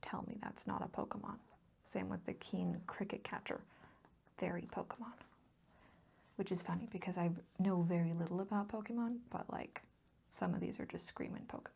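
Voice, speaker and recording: A woman speaking softly in an ASMR chat, often slipping into a breathy whisper, rambling affectionately and gushing about what she likes. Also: fairly even intonation, normal speed. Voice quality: soft, breathy whispering mixed with low voiced murmur. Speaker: host of a hobby ASMR channel. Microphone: close mic, recorded as voice-over.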